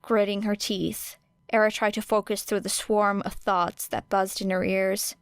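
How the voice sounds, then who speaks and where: A woman's voice: medium pitch, measured pace, smooth, dry recording. Woman narrating a fan audiobook. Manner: steady, even storytelling. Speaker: podfic reader.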